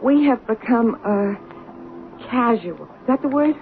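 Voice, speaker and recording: An actress playing an obsessed, righteous waitress in a 1970s radio drama, solemn and moralizing with a frantic, unhinged edge. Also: female voice, a little high, animated, measured pace, faint music behind. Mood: righteous, preachy. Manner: earnest, solemn moralizing that rises into frantic protest. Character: obsessed soap-opera fan waitress, righteous, fervent, unhinged. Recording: muffled, noisy old radio broadcast.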